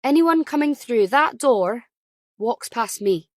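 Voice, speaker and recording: Woman playing a crisp, firm night nurse. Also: on the high side, animated, slow pace, dry recording, studio quality, voice pushed up. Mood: crisp, irritated. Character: brisk female night nurse, firm, professionally soothing.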